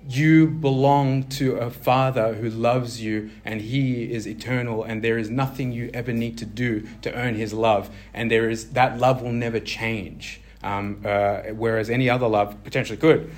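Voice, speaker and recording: A man's voice: medium pitch, fairly even intonation, moderate pace, hardly any pauses. A man preaching an informal sermon, talking conversationally with many fillers and restarts and asking his listeners questions.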